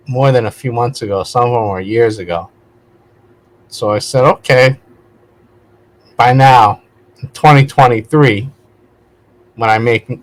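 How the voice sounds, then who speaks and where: A man's voice: medium pitch, poor audio. A man talking casually as a vlog host, digressing and correcting himself as he goes in a conversational way. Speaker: video host, vlogger. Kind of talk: casual rambling spoken vlog.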